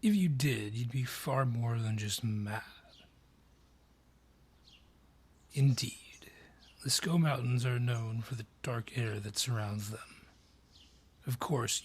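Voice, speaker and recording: A man voicing an ancient, gruff dryad forest guardian in a fantasy audio drama, husky, brusque and ominous in delivery. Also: mid-range voice. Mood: grim, dry. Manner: deliberate, brusque, ominous storyteller. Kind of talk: fantasy audio roleplay acting.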